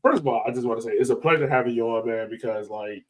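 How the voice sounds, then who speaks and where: A man hosts a casual interview podcast. His talk is loose and slangy, with a mid-range voice and the relaxed phrasing of an informal chat between peers. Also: medium pitch, fast talker. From Jamaican heritage. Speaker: podcast host.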